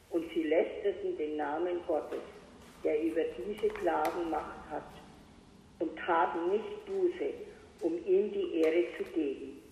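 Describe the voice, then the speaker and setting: A woman reading scripture aloud in a measured, solemn way, as a participant in a Bible study. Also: on the low side, darkish tone, slight background noise. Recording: echoey, reverberant remote audio feed.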